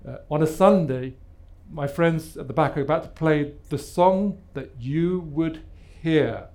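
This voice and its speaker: A man's voice: fairly high pitch, measured pace, a little mellow. A man from Luton in England, the author and host of a live book talk, asking his guests questions and introducing the segments in a thoughtful way that laughs easily.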